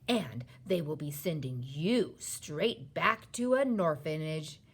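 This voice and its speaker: A woman voicing a gentle, stubborn, gloomy male giant from a children's storybook, with muddled folksy grammar and invented words. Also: deep voice, expressive, slow pace, slightly rough, full character voice. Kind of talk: children's storybook character reading.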